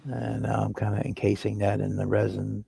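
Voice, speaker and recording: A man's voice: fairly low pitch, moderate pace, vocal fry, slight background noise. A man hosting a model-building livestream, chatting casually and explaining his work as he builds. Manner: relaxed, rambling explanations while working. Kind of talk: casual workbench chat.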